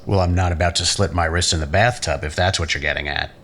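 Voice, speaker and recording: A man's voice: deep voice, fast, gravelly, a bit bright, exaggerated voice. A man playing an arrogant, menacing scientist in an audio drama, cynical and taunting with rhetorical flair. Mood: sardonic. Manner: sardonic, theatrical, probing with rhetorical questions.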